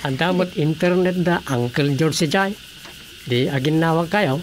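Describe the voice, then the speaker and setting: A man's voice: on the high side, moderate pace, slight background noise, natural voice. A man with an Ilocano Filipino accent, acting a teasing village councilor in a radio comedy drama. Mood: teasing, casual.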